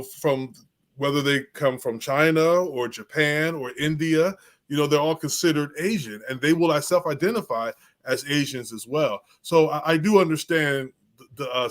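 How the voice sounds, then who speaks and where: A man talking as a guest genealogist, measured and a little hesitant, with many fillers. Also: fairly high pitch. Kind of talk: casual conversation.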